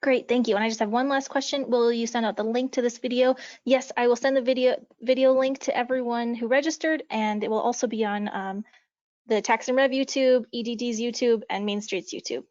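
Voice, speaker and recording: A woman moderating a webinar, brisk and polite as she handles questions. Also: a little high, steady tone, darkish tone. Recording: dull distant web call audio.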